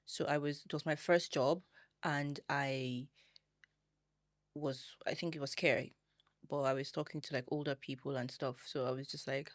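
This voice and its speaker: A woman's voice: extremely low pitch, normal speed, no room sound, clean studio sound. A young adult woman from Nigeria with a Nigerian accent, hosting a casual podcast chat and telling stories animatedly with laughs. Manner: animated, laughs often, tells stories with rising excitement. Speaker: podcast host.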